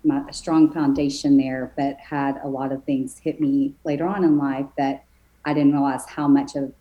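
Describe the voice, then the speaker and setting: A woman in her forties from East Texas, a podcast guest telling her story softly and letting her sentences trail off. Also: rumbling low, level tone, moderate pace, dull audio. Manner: soft-spoken, trails off quietly. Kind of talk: casual conversational storytelling.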